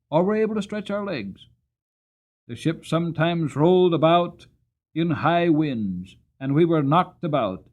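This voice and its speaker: A man's voice: a little high, darkish tone, dull audio. Man voicing an earnest, dramatic old war horse. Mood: grim, weary. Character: old male cavalry horse recounting war, proud and earnest. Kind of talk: dramatic audiobook character reading.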